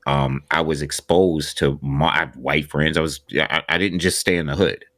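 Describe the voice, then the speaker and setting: A man co-hosting a podcast, laying out his argument in a measured, deliberate way. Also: rumbling low, steady tone, fairly bright tone, dry recording, studio quality. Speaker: podcast co-host. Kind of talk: casual podcast discussion.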